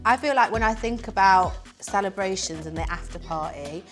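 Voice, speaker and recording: A woman's voice: mid-range voice, moderate pace, faint music behind. A woman hosting a chat in a hair salon, asking curious questions in a bubbly way. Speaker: host stylist. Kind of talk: casual chat.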